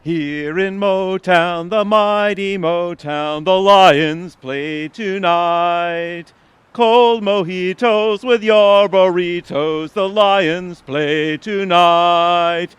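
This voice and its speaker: A man's voice: high voice, fairly even intonation, very slow, a bit bright, clean tone, dry recording, full character voice. An actor in an audio comedy playing a hapless, wry older everyman. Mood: singing out. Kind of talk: audio comedy drama acting.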